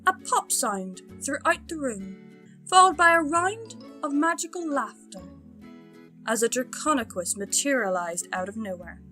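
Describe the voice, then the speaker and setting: Bright-voiced woman narrating a story. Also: high-pitched, sing-song, unhurried, soft background music. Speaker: fan fiction narrator.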